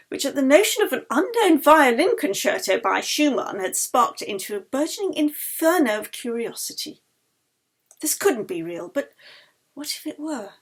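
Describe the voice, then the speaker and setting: A woman author reading her own novel aloud in a measured, expressive way. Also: a little high, slightly rough. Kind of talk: audiobook narration.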